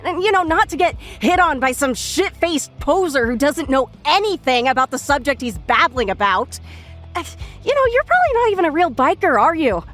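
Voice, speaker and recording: A woman's voice: sits high, big pitch swings, moderate pace, fairly bright tone, faint music behind. An actress playing a scornful, foul-mouthed young metalhead woman in an audio drama, biting and sarcastic. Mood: exasperated.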